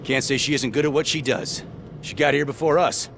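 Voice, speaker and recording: Brisk police squad leader. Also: male voice, fairly high pitch, fairly even intonation, creaky, noisy background. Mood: supportive. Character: businesslike, protective male police squad leader.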